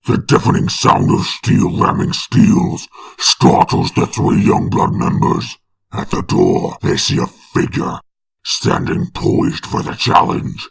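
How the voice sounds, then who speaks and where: A man's voice: sits low, gravelly, breathy edge, heavily acted. A man voicing a sinister demon narrating a comic dub in an ominous, whispery growl. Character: sinister demonic male storyteller.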